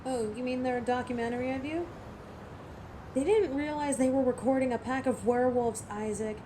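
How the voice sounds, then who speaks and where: A woman's voice: high voice, moderate pace, noisy background, close to an everyday voice. A woman playing a defiant, hot-tempered, sarcastic female werewolf who protects her turf in an audio drama. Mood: sardonic. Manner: sardonic, taunting. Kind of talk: dramatic audio roleplay acting.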